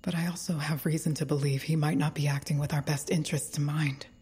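A wary, analytical woman in an audio drama. Her voice is very husky and breathy, low and dark, as she questions things with principled skepticism. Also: level tone, fast. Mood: suspicious, hushed.

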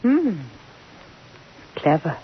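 A woman playing a gentle, demure young lady in an old-time radio drama, measured and restrained. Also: medium pitch, very animated, breathy edge, full character voice. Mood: demure, appraising. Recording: dull lo-fi vintage radio recording.

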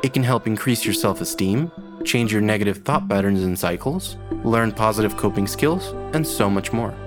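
A man reads a scripted podcast advertisement. His voice sits on the low side, with clear music underneath. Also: normal speed. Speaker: podcast ad reader. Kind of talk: scripted sponsor read.

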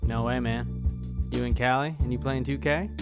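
A man's voice: mid-range voice, steady tone, moderate pace, creaky, over music, natural voice. A man reading the part of an offhand, terse basketball star in an amateur dramatic reading. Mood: cool, offhand. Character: small agitated basketball star, playing it cool.

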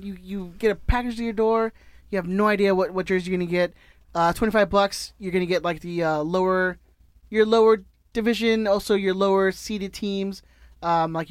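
A man's voice: high voice, clean tone, no echo. A man co-hosting a podcast, rambling and joking through a sponsor read in casual chat. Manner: rambling, self-correcting, joking. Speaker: podcast co-host.

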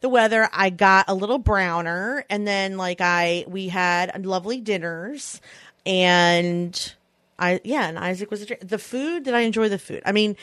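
A woman's voice: mid-range voice, measured pace, a bit bright, dry recording, clean studio sound. A woman in her thirties chatting casually as a podcast co-host, telling rambling stories with lots of asides. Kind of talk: casual chat.

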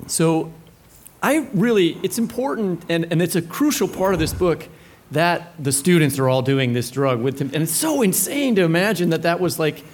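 Casting: male podcast co-host, fairly high voice, informal conversational questioning at a live event. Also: lively intonation, normal speed. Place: book fair event before an audience.

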